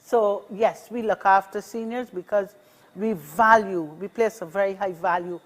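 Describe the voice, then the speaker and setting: A woman's voice: mid-range voice, measured pace. Upbeat Barbadian woman in her sixties. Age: 60s. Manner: upbeat, encouraging, repeats key phrases. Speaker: senior advocacy organization representative. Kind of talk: casual interview conversation.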